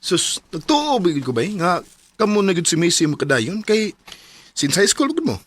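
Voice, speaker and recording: Frank young male best friend, fairly high, animated pitch, Cebuano accent, radio drama. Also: moderate pace, faint music behind, full character voice. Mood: teasing, coaxing. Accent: Cebuano Filipino.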